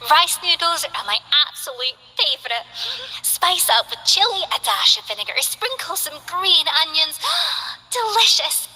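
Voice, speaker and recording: A woman's voice: high voice, very animated, a little slow, sharp tone, exaggerated voice, rough recording. A woman voicing a cheerful, chatty young female delivery courier in a video game. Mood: upbeat. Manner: bubbly, chatty, eager.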